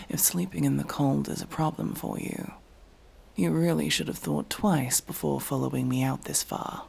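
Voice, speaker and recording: Man acting as a prickly fantasy elf mercenary, slightly high male pitch, very crackly fry, curt, sardonic, exasperated, ASMR roleplay. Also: measured pace, long pauses, darkish tone. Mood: dry, grudging. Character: aloof, prickly tsundere elf mercenary, reluctant rescuer. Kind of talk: ASMR fantasy roleplay, acted monologue to listener.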